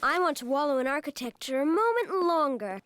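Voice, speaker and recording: High-pitched, theatrical schoolgirl. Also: sing-song, brisk, fairly bright tone. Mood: wistful, dreamy. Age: child character. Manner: theatrical, grandiloquent. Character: imaginative, dramatic schoolgirl with a grandiose streak. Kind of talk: animated series voice acting.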